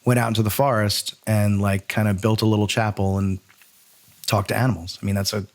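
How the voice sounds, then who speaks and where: A middle-aged American man with a fairly low voice hosts a casual interview. He talks in a discursive, informal way, working out his thoughts aloud as he goes. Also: level tone, brisk. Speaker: podcast host.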